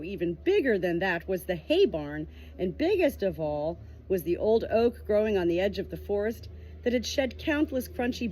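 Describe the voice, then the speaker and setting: A woman reading a storybook aloud in an expressive amateur style, with casual asides, recorded on a phone at home. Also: mid-range voice, poor audio. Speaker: amateur book reader, channel host.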